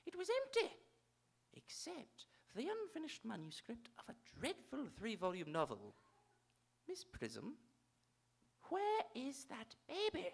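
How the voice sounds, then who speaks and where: A woman playing a haughty, imperious older aristocratic aunt in live radio theatre, with pompous, drawn-out declamation. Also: high voice, wildly swooping pitch, husky, exaggerated voice. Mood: stern, accusing.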